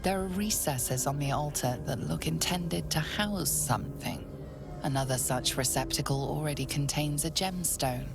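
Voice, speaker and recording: An actress playing a mysterious, solemn game narrator who speaks in a measured, hushed manner. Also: female voice, low voice, vocal fry, music in the background.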